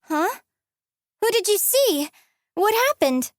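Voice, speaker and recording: A woman's voice: very high voice, big pitch swings, slow pace, no echo, studio quality, full character voice. A woman voicing a timid, proper young servant girl in a video game, hesitant and pleading. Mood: worried.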